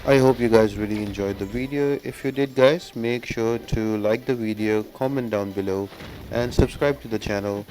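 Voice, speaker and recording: A man's voice: on the low side, normal speed, darkish tone. A man who hosts a gaming channel, giving casual, instructional walkthrough commentary and talking directly to his viewers. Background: music.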